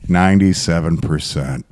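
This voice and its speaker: A man's voice: low-pitched, measured pace, a little mellow. A man co-hosting a podcast, riffing playfully in crude, swearing banter. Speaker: podcast co-host.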